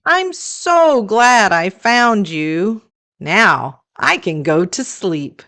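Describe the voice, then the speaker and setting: Lady doing storytime for kids, reads really slow n deliberate, super expressive up and down. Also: mid-range voice. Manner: deliberate, questioning storyteller delivery. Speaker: children's storytime host. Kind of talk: animated slow read-aloud of a picture book for children.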